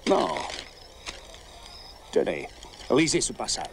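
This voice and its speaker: Un acteur qui double un hors-la-loi venu d'Australie, vaniteux et prompt à s'indigner, dans un doublage de film en français. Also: male voice, mid-range voice, big pitch swings, takes it slowly, creaky, slight background noise, heavily acted. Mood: indignant. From Australia. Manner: indignant, emphatic. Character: vain outlaw man, touchy about fame. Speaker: outlaw. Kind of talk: French film dub.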